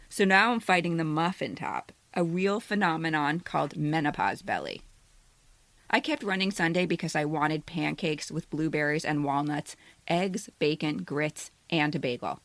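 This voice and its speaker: Give the voice of a woman in her fifties with a fairly low pitch. She speaks candidly and directly, like someone sharing personal stories on a podcast. The sound is dry, with very little room in it. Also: fairly bright tone, no room sound. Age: 50s. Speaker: podcast co-host and essayist. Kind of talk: scripted personal essay narration, then casual banter.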